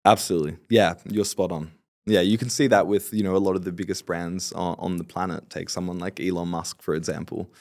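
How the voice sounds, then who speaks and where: A man's voice: low-pitched, fairly even intonation, fairly quick, a little mellow, no room sound, studio quality. A man in his late twenties, a podcast guest talking confidently and agreeably about his business. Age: 20s. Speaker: podcast guest, fulfillment company founder. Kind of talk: casual interview talk.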